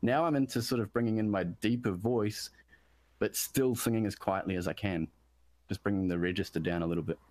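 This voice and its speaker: A deep-voiced man, a musician guest from New Zealand, chatting casually about production and often restarting his sentences. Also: brisk, darkish tone, no room sound. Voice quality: deep bass voice. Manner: rambling, self-interrupting, searching for words.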